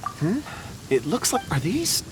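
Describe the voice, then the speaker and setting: Low-voiced, earnest young ore enthusiast. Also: female voice, slow, noisy background, heavily acted. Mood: musing. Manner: polite, musing, expressive. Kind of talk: video game voice acting. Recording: music behind the voice.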